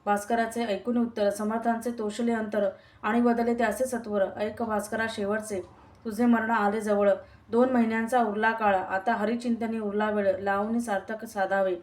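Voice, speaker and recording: A woman reciting a long devotional verse story in a steady, sing-song recitation. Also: on the high side, normal speed.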